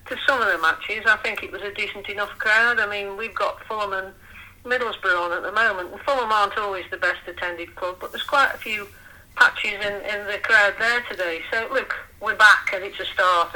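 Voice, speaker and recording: A woman, talking in lively, articulate casual chat as co-host of a fan podcast, with dry humour. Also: mid-range voice, moderate pace, low-quality recording.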